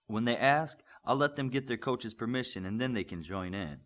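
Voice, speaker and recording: A man's voice: mid-range voice, lively intonation, moderate pace, fairly bright tone, lightly acted. A man reading the part of a blunt, seasoned superhero combat coach in an audiobook, in an instructive manner. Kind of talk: audiobook character voice.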